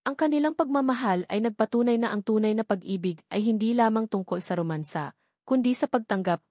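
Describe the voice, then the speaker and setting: A woman reading a scripted sentimental story in an earnest, measured storytelling manner. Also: medium pitch, darkish tone, no room sound, clean studio sound. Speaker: story narrator.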